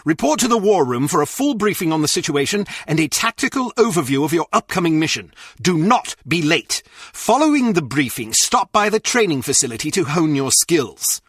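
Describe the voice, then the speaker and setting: An actor playing a stern, condescending spy agency official, delivering formal, clipped mission briefings in a video game. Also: male voice, fairly high pitch, sing-song, moderate pace, husky, no echo, clean studio sound.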